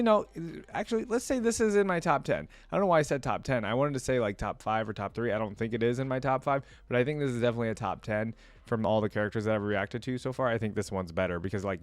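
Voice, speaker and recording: A man with a fairly low voice records close and dry, with little room sound. He gives casual reaction commentary, thinking aloud and speaking straight to his viewers in a conversational way. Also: no room sound. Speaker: reaction video host.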